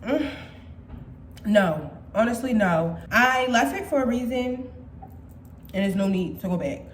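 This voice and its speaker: A woman's voice: a little high, expressive, a little slow, reverberant, a little hiss or hum. A woman in her twenties chatting casually and playfully to her viewers as a vlogger, swearing freely. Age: 20s. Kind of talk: casual chat.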